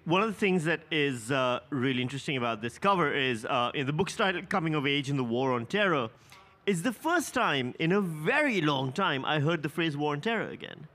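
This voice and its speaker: A man, interviewing a guest with curious, animated questions and an easy laugh. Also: fairly high pitch, a little fast. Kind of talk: conversational interview.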